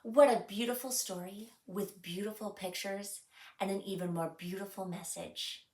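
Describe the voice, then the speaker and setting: A woman hosting a children's story time, reading aloud with deliberate, expressive phrasing, a little away from the microphone. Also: mid-range voice, echoey room, recorded from a distance.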